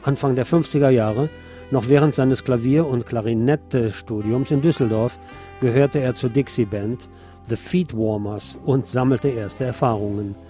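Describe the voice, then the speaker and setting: A man narrating a scripted obituary tribute as a radio host, in a measured, formal reading manner. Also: medium pitch, mellow, faint music behind.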